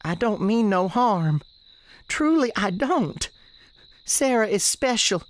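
A woman's voice: medium pitch, lively intonation, slow. A woman playing the restless, defiant ghost of a sixteen-year-old novice nun in a radio drama, earnest and plaintive, with folksy phrasing. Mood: pleading. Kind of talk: dramatic radio theatre dialogue.

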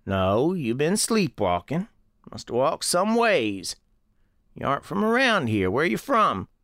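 Actor as folksy old country storekeeper, animated delivery. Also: male voice, on the high side, no room sound, studio quality, full character voice. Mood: soothing. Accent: American. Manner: folksy, unhurried storytelling. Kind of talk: first-person scripted storytelling in radio drama.

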